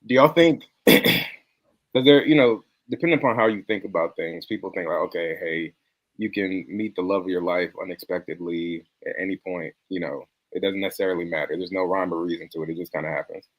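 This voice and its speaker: A man with a very low voice, recorded close and dry, leads the conversation. He sets out careful, open questions at an even pace. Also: no room sound, low-quality recording. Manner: measured, probing questions. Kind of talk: interviewing guests in casual chat.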